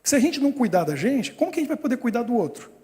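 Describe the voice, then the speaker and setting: High-voiced man preaching in a hall. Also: very fast. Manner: lively storytelling with frequent chuckles. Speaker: pastor. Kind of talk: sermon. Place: church hall.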